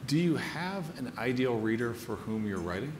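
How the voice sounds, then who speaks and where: A man hosting a live on-stage interview, speaking in a formal, appreciative and deliberate way. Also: medium pitch, very animated. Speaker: interviewer, event host. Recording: echoey hall, noisy audience.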